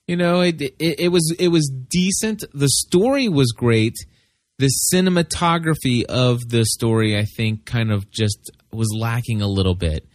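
A man's voice: medium pitch, no echo. Man giving a dry-sounding informal movie review. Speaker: listener leaving recorded review feedback.

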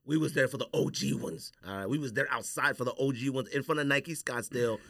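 A man's voice: mid-range voice, quick pace, gravelly, fairly bright tone, no room sound, studio quality. An American man hosting a podcast with casual, teasing, slangy banter. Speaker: podcast host.

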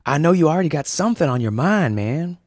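A man voicing an excitable male office worker who talks in loud, eager surfer slang. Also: fairly high pitch, quick pace, dry recording, studio quality, pitched above the performer's own voice.

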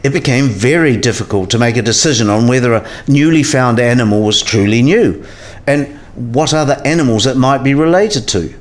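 A man's voice: mid-range voice, brisk, close to an everyday voice. A man playing a learned professor in a radio play, explaining science history in a precise, didactic way. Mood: measured, instructive.

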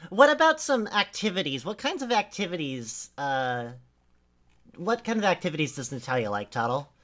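A woman's voice: lowish voice, sing-song, normal speed, fairly bright tone. A woman hosting a casual chat, teasing and asking the others questions with giggles. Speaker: host leading the segment.

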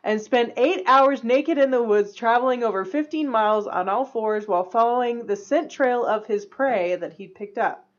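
Woman, giggly, retelling a weird news story, fairly high voice, muffled recording. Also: normal speed. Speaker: podcast co-host. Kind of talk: casual chat, reading a news story aloud.

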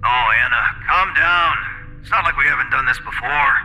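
A man's voice: medium pitch, moderate pace, creaky, sharp tone, slight background noise, pitched below the performer's own voice. Man acting a smug, menacing young man, echoing. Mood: coaxing.

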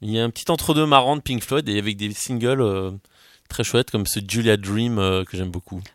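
A man's voice: lowish voice, fairly quick, no echo, clean studio sound. A man chatting casually about music as a radio show host.

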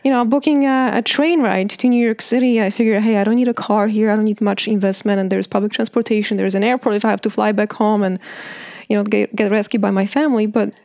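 A woman's voice: medium pitch, fairly even intonation, fast talker, a little mellow, no room sound, clean studio sound. A woman in her late thirties from Poland with a heavy Polish accent, chatting as an interview guest with many fillers and restarts. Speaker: beauty industry guest. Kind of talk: interview answers.

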